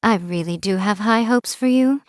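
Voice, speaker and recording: A woman's voice: medium pitch, normal speed, no room sound, studio quality, natural voice. A woman voicing a playful, teasing fox spirit in a game, coy and amused as she talks. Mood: sly. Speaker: game character. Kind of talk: game voice lines. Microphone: studio mic, dry.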